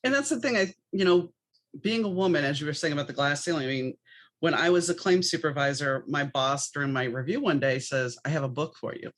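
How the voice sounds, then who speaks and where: A woman's voice: low voice, fairly quick. Chatty woman hosting a podcast. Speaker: podcast host. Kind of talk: conversational podcast interview.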